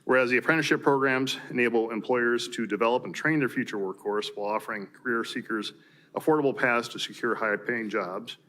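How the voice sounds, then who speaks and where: A man's voice: mid-range voice, fairly even intonation, fairly quick, bright, forceful tone. A man with an American accent, a mayor formally reading proclamations at a council meeting. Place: city council chamber.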